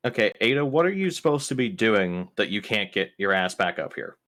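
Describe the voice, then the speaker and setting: Man acting spaceship captain, lowish voice, blunt, impatient, exasperated, tabletop game. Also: big pitch swings, fairly quick, a bit bright.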